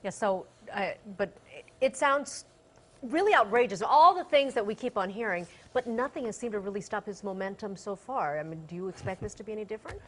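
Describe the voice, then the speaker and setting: A woman's voice: mid-range voice, animated, a little fast, a bit bright. An American woman anchoring television news, presenting and putting questions in the studio.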